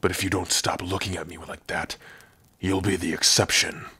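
A man voicing a big, gruff orc prisoner in an ASMR roleplay, brooding and sardonic, with a husky, rough voice. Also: sits low, little pitch movement, normal speed, pushed and bright, dry recording, heavily acted. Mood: menacing, bitter. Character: big gruff male orc prisoner, guarded but lonely. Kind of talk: ASMR roleplay addressed to the listener.

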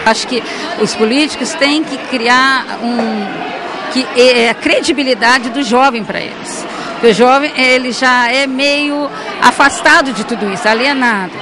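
A woman's voice: sits high, measured pace, background noise. Earnest older woman amid crowd chatter. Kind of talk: street interview. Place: crowded school hall. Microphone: handheld TV mic held by reporter.

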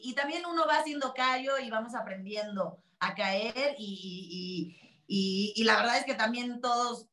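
A woman in her forties with a Mexican accent, Catalan her first language, talks in a chatty, animated way with plenty of restarts. Her voice sits a little high. The video call picks up a roomy echo around her. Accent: Mexican Spanish. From Spain. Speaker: interview guest, TV presenter. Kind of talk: casual interview chat. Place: small room, video call.

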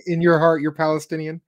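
A man's voice: fairly high pitch, highs cut off. A young man hosting an interview from a room at home over a video call, eager and full of ums and restarts. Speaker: host, interviewer. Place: home room with bookshelves, indoors. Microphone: webcam on a video call.

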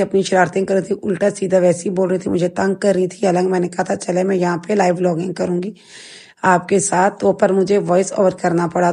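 A middle-aged woman with a Pakistani accent gives a casual vlog voice-over. Her voice is husky and creaky, with a fairly even pitch. She recounts her day in a chatty, rambling way. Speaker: vlogger.

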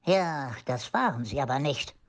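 A man's voice: mid-range voice, expressive, moderate pace, close to an everyday voice. A man voicing a flustered, indignant motorist in a hurry in a radio play.

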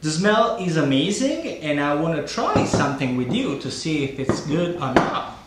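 Sicilian-accented male cooking host, forties, slow and halting, slightly high voice, roomy echo with faint hiss. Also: big pitch swings. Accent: Sicilian Italian. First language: Italian. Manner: unhurried, hesitant with many fillers, relishing tastes. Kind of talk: casual cooking demonstration. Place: home dining room, indoors.

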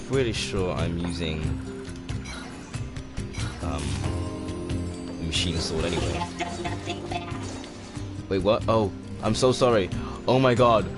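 A man in his twenties with a relaxed, soft-spoken voice, casually commenting while playing a game and rambling with many fillers. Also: lowish voice. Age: 20s. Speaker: game streamer. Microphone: condenser microphone. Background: game music.

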